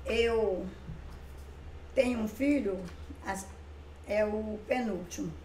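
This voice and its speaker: A woman's voice: medium pitch, slow, a little hiss or hum. An older woman, a writer and songwriter, chatting in an interview and acting out past conversations as she tells her stories. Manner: lively storyteller who acts out past conversations. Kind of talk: casual interview chat. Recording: echoey room.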